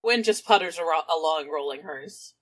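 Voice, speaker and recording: A woman's voice: low voice, normal speed. A woman playing a tabletop roleplaying game, casually improvising and describing what her character does.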